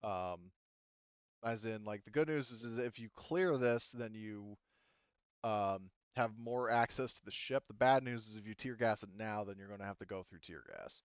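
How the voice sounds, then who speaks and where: A smooth-voiced man narrates a tabletop game as game master. He talks in a casual, halting way, close and dry, though the sound is slightly dull and lo-fi. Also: fairly low pitch, no room sound.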